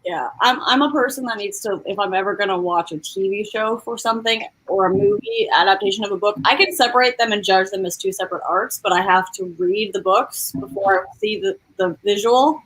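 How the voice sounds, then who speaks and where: A woman's voice: mid-range voice, expressive, brisk, hardly any pauses, a bit bright, husky, poor audio. A woman in a casual group chat about books, explaining her opinions in a chatty way. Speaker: book discussion participant.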